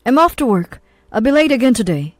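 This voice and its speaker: A woman's voice: fairly high pitch, big pitch swings, brisk, dry recording, soft background music. A woman voicing a weary, ailing, stubborn husband in a narrated story, with a tired manner.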